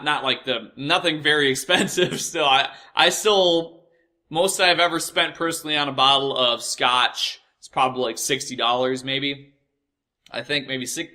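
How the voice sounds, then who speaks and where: A young American man with a bright, forward voice at an average pitch. He tells personal stories loosely and casually, full of swearing, repeats and self-corrections. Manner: profane, repetitive, halting and self-correcting. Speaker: host of a personal storytelling show. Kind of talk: casual rambling personal storytelling.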